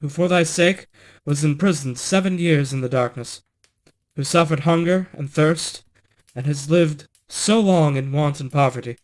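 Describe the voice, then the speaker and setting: A man voices a long-suffering princess in a storybook tale. She is gentle and earnest, with a fairly high voice. Her speech is formal. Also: measured pace, lightly acted. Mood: earnest, relieved. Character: Maid Maleen, gentle long-suffering princess and true bride.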